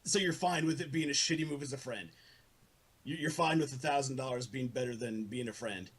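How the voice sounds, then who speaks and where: Male, husky voice, earnest and profane, for a heated informal argument. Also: medium pitch, brisk.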